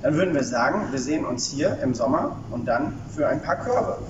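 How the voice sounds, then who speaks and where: A middle-aged man sports teacher talking in a relaxed, joking way inside a sports hall. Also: mid-range voice, brisk, dull audio, noisy background. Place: large indoor sports hall. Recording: distant voice in echoing gym.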